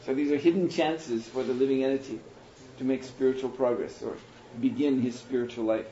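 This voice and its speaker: A man speaking as a spiritual teacher in a question and answer session, thoughtful and unhurried, pausing often. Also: medium pitch, fairly even intonation. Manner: thoughtful, unhurried, with pauses and soft laughs. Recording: roomy hall, muffled and lo-fi.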